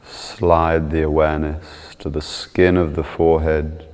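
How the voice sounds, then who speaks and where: A man calmly guiding a meditation, soothing and measured, with long pauses between short instructions. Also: a bass voice, long reverb tail. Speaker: yoga and meditation teacher.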